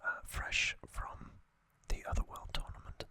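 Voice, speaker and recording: An ASMR host giving a relaxed recap in a soft, unvoiced whisper, with casual fillers and small asides. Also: measured pace, no echo.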